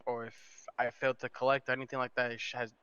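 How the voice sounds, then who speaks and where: Man as bright, clear-voiced indebted mobster. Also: mid-range voice, flat delivery, fast talker, no echo, dull audio, natural voice. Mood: insistent. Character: indebted mobster explaining who owes what. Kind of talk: improvised crime roleplay in an online game.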